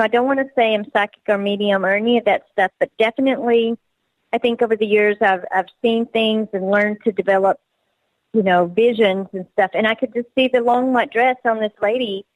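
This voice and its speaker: A woman's voice: on the high side, no echo, rough recording. A woman with a Texas accent, a guest author telling stories earnestly on a radio interview. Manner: earnest, excitable storytelling.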